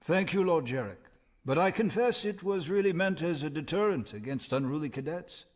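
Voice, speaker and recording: An uneasy Imperial general in an audio drama. The voice sits a little high, and he speaks with formal, careful deference, polite in every phrase. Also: expressive, moderate pace. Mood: uneasy, deferential.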